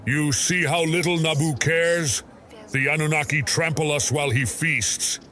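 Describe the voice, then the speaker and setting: A man's voice: a little high, fairly even intonation, unhurried, bright, forceful tone, faint music behind, pitched above the performer's own voice. A man voicing a bitter, resentful agitator haranguing a crowd in an audio drama. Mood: bitter, inciting. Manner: bitter, rabble-rousing. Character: broad-shouldered male rebel agitator haranguing crowds, resentful.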